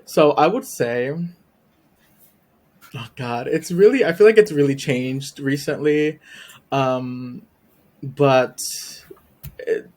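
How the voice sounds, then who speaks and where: A man's voice: medium pitch, slow pace, long pauses, a little mellow. A man from Puerto Rico whose first language is Spanish, chatting as a podcast guest in a shy, hesitant way with many ums and small laughs. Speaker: guest, YouTube reactor. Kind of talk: casual chat interview.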